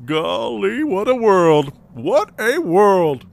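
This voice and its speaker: An actress playing an eager young newsy in a comic noir audio drama, brash and full of folksy period slang. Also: female voice, mid-range voice, big pitch swings, slow pace, vocal fry, slight background noise, heavily acted. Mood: star-struck.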